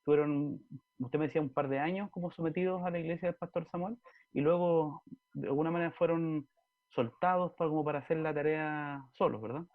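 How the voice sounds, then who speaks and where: A male interviewer asks long, winding questions in a somewhat high voice, often breaking in with brief affirmations. His voice comes through thin and tinny, like over a phone. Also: fairly quick. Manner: long winding questions, frequent "ya" and "amén" backchannels.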